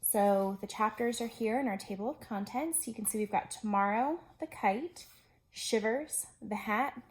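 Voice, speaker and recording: A woman's voice: fairly high pitch, measured pace, poor audio. Woman reading a storybook to young children. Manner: addresses children directly with simple explanations. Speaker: preschool teacher.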